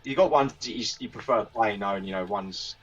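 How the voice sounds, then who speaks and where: A man's voice: on the low side, fairly quick. A man, a musician answering interview questions in hesitant, loosely phrased casual chat. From South of England. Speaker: band member being interviewed. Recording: distant, dull lo-fi microphone.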